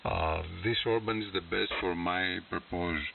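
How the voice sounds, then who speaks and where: A man with a Greek accent chatting casually as an amateur radio operator, halting and searching for words, over a shortwave radio link. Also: low voice, creaky, recorded from a distance. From Greece. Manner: halting, searching for words in non-native English. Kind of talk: casual ham radio conversation. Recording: hissy, muffled shortwave radio transmission.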